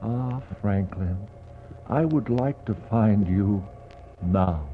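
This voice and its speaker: An actor playing a brooding polar explorer in a 1960s poetic radio drama, speaking in a solemn, declamatory way with dramatic pauses. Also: male voice, fairly low pitch. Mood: yearning, intense. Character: brooding male polar explorer searching for a lost expedition. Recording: dull, noisy 1960s radio recording.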